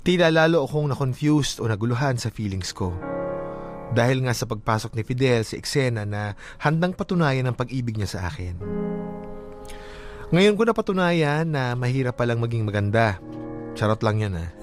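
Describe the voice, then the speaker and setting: A male narrator tells a first-person story expressively, with playful asides. His pitch is average and music plays clearly behind him. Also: expressive, normal speed.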